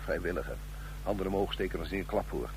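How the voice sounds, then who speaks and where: Sly, low-voiced male secret agent. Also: a little mellow. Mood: cool, businesslike. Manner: deliberate, insinuating, coaxing. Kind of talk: radio drama acting. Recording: noisy, humming old radio recording.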